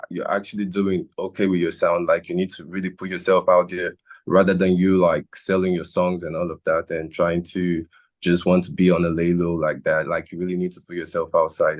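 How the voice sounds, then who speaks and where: A young musician talks through his story in a casual interview. His voice sits low and barely moves in pitch. He speaks earnestly, stopping and restarting as he looks for words. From Nigeria. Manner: earnest, halting, circles back on his words. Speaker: musician guest.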